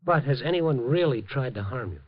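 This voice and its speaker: A man's voice: medium pitch, animated, fairly quick, no room sound, lightly acted. An American actor playing a streetwise, compassionate newspaperman in a hard-boiled 1950s radio drama, firm and reassuring. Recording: muffled old radio recording.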